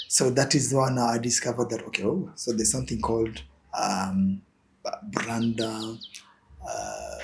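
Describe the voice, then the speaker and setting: A man's voice: mid-range voice, slight background noise. A young Kenyan man with a Kenyan accent, answering interview questions as an image consultant, with many fillers and repeated words.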